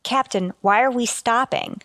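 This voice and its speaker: A woman playing a dutiful, earnest starship officer in a radio sci-fi drama, deferential and deliberate. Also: on the high side, fairly bright tone, no room sound, studio quality. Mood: anxious. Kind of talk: golden age radio sci-fi drama acting.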